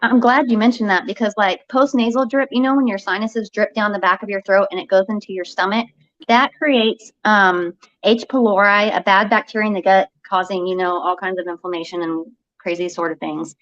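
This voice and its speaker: A woman speaking as a health coach guest, animated and often restarting her sentences. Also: medium pitch, a little fast, dull audio. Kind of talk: casual health talk show chat.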